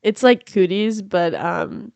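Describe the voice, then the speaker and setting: A woman's voice: medium pitch, gravelly, darkish tone, smooth, no echo. Brash crude woman, improvising. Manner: brash, crude, quick jokes. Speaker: podcast guest. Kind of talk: improv comedy scenes. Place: house.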